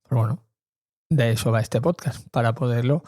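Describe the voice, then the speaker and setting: A middle-aged man hosts a podcast in a relaxed, mellow voice of medium pitch. He thinks aloud informally, hesitating and repeating words to stress them. The sound is dry and close. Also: moderate pace, dry recording. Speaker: podcast host.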